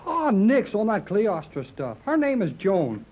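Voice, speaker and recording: A man's voice: high voice, wildly swooping pitch, measured pace, exaggerated voice. An actor playing a brash, defiant young man, slangy and blunt, in a 1930s radio serial. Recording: dull, muffled 1930s radio recording.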